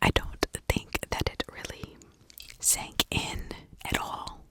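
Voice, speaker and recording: A woman doing ASMR true-crime storytelling in a breathy whisper, chatting from memory with dramatic emphasis on key words. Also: slow pace. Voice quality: breathy, unvoiced whisper with creaky edges.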